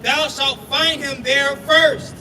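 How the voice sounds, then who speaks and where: A man reading scripture aloud for a street preacher in emphatic, drawn-out phrases. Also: extremely high pitch, steady tone, background noise. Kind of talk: loud declaimed Bible reading with short affirmations. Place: outdoors on a street. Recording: distant, echoing, music and crowd behind.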